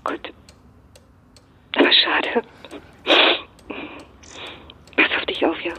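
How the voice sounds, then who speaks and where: An actress playing a worried middle-aged mother in an audio drama, speaking softly and haltingly with a breathy voice. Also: a little hiss or hum. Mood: wistful. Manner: halting, gentle. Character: worried, hesitant mother of a grown daughter. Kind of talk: naturalistic German audio drama dialogue.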